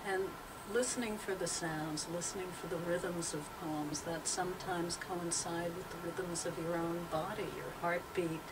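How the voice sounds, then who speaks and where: An older woman speaks slowly and deliberately, like a poet used to reading aloud. Her pitch is medium, and she sounds some way from the microphone, with clear noise behind her. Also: steady tone. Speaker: poet and teacher. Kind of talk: interview talk and poetry reading aloud. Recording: distant mic, clearly noisy background.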